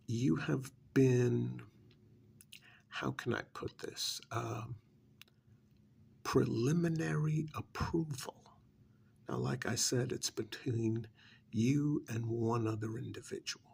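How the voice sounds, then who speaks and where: A man playing a tactful, bureaucratic vampire clan familiar in an ASMR role-play, speaking softly and hesitantly with measured pauses and a breathy, gravelly voice. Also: medium pitch, a little hiss or hum. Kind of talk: ASMR role-play, whispery soft speech.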